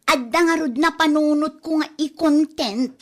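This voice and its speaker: A woman's voice: sits high, moderate pace, lightly acted. A woman acting a stubborn, defensive young wife in an Ilocano comedy drama. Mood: stubborn, annoyed.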